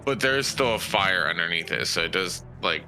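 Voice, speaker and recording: A man's voice: low-pitched, expressive, a little fast, creaky, sharp tone. A man taking part in a tabletop game as a player, chatting casually and thinking aloud hesitantly. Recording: game music playing behind.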